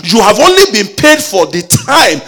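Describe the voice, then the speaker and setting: A man preaching a sermon with call-and-response exhortations, rising at times into a sung shout. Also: high-pitched, sing-song, moderate pace.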